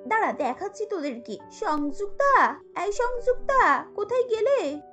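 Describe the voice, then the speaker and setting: Woman playing a haughty, taunting young sister-in-law, high-pitched. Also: sing-song, unhurried, bright, forceful tone, soft background music, exaggerated voice. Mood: mocking. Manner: scornful, taunting.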